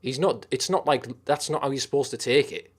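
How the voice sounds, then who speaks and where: Husky Teesside man rambling on a stream. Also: medium pitch, brisk. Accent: Teesside English. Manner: rambling and sweary, with frequent restarts. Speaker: live stream host. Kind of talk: casual live-stream chat, reading viewer comments.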